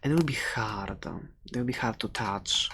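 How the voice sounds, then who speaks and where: A performer voicing a furry animal shopkeeper in an ASMR roleplay, soft-spoken and halting, often slipping toward a whisper, doting with an edge of menace. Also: medium pitch, slight background noise, voice pushed down, close to an everyday voice. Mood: fond, showing off.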